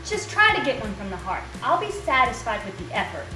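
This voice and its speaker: A woman's voice: on the high side, sing-song, fairly quick. A woman playing a fussy, demanding lady in a stagy poetry performance, with formal, theatrical phrasing. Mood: prim, demanding. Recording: echoey room, music behind.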